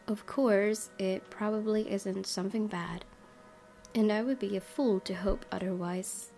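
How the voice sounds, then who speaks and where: A woman's voice: mid-range voice, darkish tone. A woman hosting a gaming video, reading visual novel narration aloud. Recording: game music behind. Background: music.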